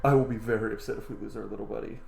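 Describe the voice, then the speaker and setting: A man's voice: fairly low pitch, fairly even intonation, rapid-fire, vocal fry, darkish tone, a little hiss or hum. A man in his thirties giving casual reaction commentary as he plays a video game. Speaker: gaming video host. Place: small room at home. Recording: roomy, faint game music behind.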